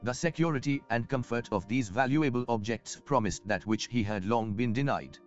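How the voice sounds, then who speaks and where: Game merchant, male, acted: polished, self-assured, archaic and eloquent speech, mid-pitched, faint music underneath. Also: normal speed, natural voice. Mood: reflective, sympathetic. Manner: formal, eloquent, archaic phrasing. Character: courtly, self-assured wealthy merchant, risen from poverty. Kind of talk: scripted video game dialogue. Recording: muffled game audio with background music.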